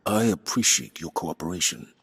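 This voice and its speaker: A man playing a principled, restrained military commander in a video game cutscene, measured and formal with steady authority. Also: deep voice, vocal fry, slightly rough, heavily acted. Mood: decisive.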